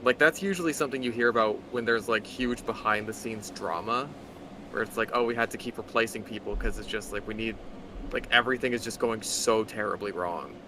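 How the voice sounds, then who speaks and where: Low-pitched blunt man, noisy recording. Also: a little fast. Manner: blunt, sardonic, swears freely. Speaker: co-host reading a story aloud. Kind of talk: casual commentary.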